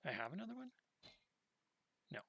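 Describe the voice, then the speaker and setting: A man's voice: high-pitched, big pitch swings, normal speed, vocal fry, bright, forceful tone, no room sound. A man doing casual, easygoing video game commentary, thinking aloud as he plays. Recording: game music behind voice. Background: video game music.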